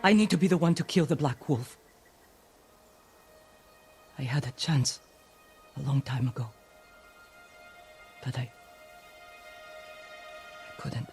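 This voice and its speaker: A woman playing a grim, wounded thief bent on revenge in a dramatic video game, terse and weighty, with a low voice. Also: slow, long pauses, darkish tone, heavily acted. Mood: cold, vengeful. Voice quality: low-pitched voice. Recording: music behind the voice.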